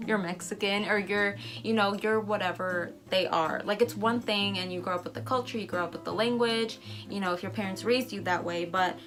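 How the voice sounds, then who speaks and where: Excitable young woman vlogger, faint music. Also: medium pitch, normal speed. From United States. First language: Spanish. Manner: excitable, reads results aloud with surprised asides. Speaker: travel vlogger. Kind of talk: casual vlog reaction.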